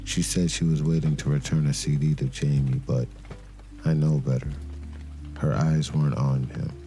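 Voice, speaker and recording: An actor playing a brooding, suspicious detective who narrates a noir crime drama in a grave, deliberate manner. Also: male voice, rumbling low, fairly even intonation, dark tone, soft background music. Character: brooding male detective, terse, suspicious and methodical. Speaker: narrator and lead character of an audio crime drama.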